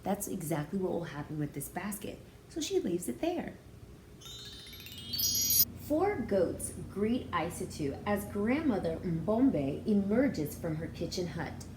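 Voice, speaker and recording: A woman's voice: on the low side, sing-song, slow pace. A woman in her thirties reading a picture book aloud as a teacher, expressively and with explanations for young children. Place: small room indoors. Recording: roomy indoor echo, faint background noise.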